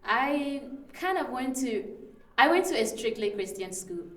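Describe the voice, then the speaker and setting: A woman's voice: sits high, sing-song, a little slow, recorded from a distance. A young woman from northern Ghana, a student guest in a casual interview, who talks in an easy way with many fillers and frequent laughs. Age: young adult. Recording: echoey room, voice a little off-mic.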